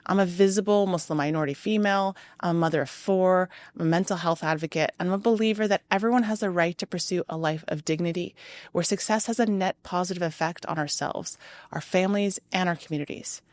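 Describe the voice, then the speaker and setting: A middle-aged woman whose first language is Arabic, hosting a podcast from a hotel room in an earnest scripted monologue of rhythmic lists and emphatic pauses. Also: fairly low pitch, darkish tone. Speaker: podcast host.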